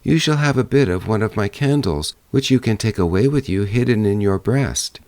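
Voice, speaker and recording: Man voicing an anxious mother, raised pitch. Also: animated, moderate pace, darkish tone, dry recording, close to an everyday voice. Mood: urgent, scheming. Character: anxious, scheming middle-aged mother of fairy tale. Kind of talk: fairy-tale audiobook character voice.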